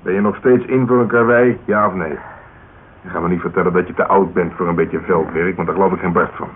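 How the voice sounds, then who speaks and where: An actor playing a jaded, blunt, middle-aged old-school police detective in a radio drama, grumbling and needling. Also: male voice, deep voice, fairly even intonation, fast, natural voice. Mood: pressing. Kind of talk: naturalistic radio drama dialogue. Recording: tinny, noisy old radio recording.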